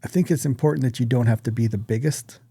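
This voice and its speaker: A man's voice: mid-range voice, fast, dark tone, husky, no echo. A man co-hosting a podcast, chatting casually in an informal, rambling way. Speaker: podcast co-host.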